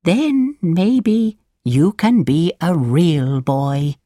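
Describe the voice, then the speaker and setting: A woman puts on an elderly good fairy for a children's storybook. She speaks very slowly in drawn-out phrases, kindly and solemn, gently admonishing with a rising and falling lilt. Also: on the low side, animated, no room sound, clean studio sound, heavily acted. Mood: warning.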